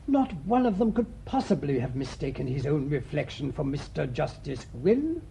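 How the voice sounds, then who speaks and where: A British man acting a thoughtful, shrewd priest sleuth in a radio mystery, speaking in a measured, deliberate way. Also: big pitch swings, vocal fry, dark tone. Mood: shrewd, assured. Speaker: actor in the lead detective role. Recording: muffled radio recording with faint rumbling noise.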